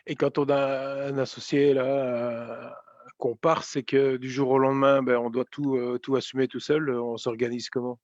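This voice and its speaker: A man's voice: mid-range voice, level tone, normal speed, darkish tone, dry recording. Friendly man hosting an interview. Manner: friendly, stumbling over his words. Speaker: interviewer host. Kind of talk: casual interview. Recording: dull lo-fi dry sound.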